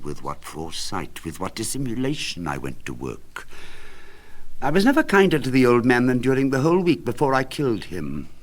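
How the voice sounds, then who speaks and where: A man reading as a nervous, unhinged narrator who insists he is not mad, lurching between hushed whispers and sharp outbursts. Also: mid-range voice, voice pushed up, close to an everyday voice. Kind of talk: dramatic storytelling reading.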